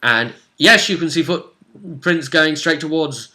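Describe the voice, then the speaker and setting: A man's voice: on the high side, unhurried, bright, forceful tone. A man explaining a tabletop role-playing session as game master in casual conversation, often turning statements into tag questions.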